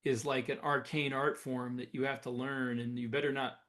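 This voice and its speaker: A man's voice: mid-range voice, little pitch movement, moderate pace, a bit bright. A man co-hosting a podcast, explaining his opinions in casual conversation. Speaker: podcast co-host.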